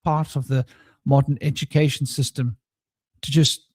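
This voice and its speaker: A man hosting a casual spiritual round-table chat, rambling and relaxed, with a somewhat droning voice and many fillers. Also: mid-range voice, moderate pace, breathy edge, no room sound. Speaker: host. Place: home studio.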